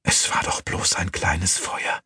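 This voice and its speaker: A man's voice: takes it slowly, pushed and bright, no room sound, in a whisper. A man voicing a desperate young servant woman in an audiobook, pleading and stammering. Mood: stunned, guilty. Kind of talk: audiobook character voice.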